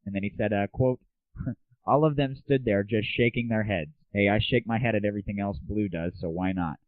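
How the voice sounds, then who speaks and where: A man's voice: fairly low pitch, dry recording. Lo-fi guy, irreverent gaming show host. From United States. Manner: irreverent, slangy, opinionated. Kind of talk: casual solo news commentary. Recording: muffled phone-like lo-fi audio.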